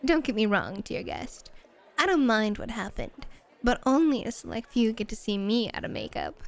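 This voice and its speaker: A woman's voice: fairly high pitch, big pitch swings, moderate pace, gravelly. A woman playing a flirty, teasing, self-assured club hostess in an ASMR roleplay. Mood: playfully threatening.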